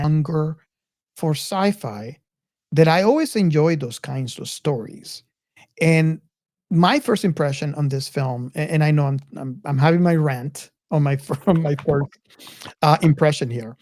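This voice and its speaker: A man's voice: on the high side, darkish tone, no echo. Man, podcast host, casual discussion, rambling delivery, repeated phrases, dry close sound.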